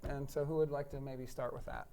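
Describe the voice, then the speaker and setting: An American man hosting a live online chat for admitted students, relaxed and talkative with a lot of fillers. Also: mid-range voice, brisk, gravelly, slight background noise. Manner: relaxed, chatty, many fillers, jokes at himself. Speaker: director of admissions office, host.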